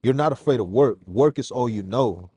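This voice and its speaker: A man from Mexico, a guest on a casual podcast interview, telling his story in a relaxed, slangy way. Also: medium pitch, normal speed, husky. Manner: relaxed, slangy, calls host "bro".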